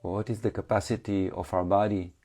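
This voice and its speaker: A man leading a guided meditation as a teacher, calmly instructing in short phrases with long pauses and slightly non-native English phrasing. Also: deep voice.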